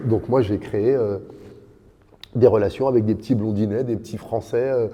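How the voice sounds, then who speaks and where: A man's voice: lowish voice, fairly even intonation, normal speed, darkish tone, long reverb tail. A man in his fifties, a podcast guest telling his life story in an earnest, reflective way, piling up lists with rising emphasis. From born in Dakar, raised in France. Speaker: podcast guest, kickboxing champion.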